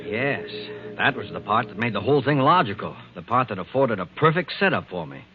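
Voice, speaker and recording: A man's voice: fairly low pitch, very animated, brisk, husky, faint music behind, full character voice. An actor playing a calculating schemer telling his story in a 1940s radio mystery. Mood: smug, confiding. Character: calculating schemer recounting his perfect setup. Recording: noisy, tinny old radio recording.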